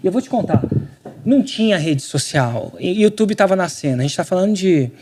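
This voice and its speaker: A man from Brazil, a podcast guest, telling a story in casual conversation, animated and slangy, acting out quotes. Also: high voice, fairly quick, slight background noise.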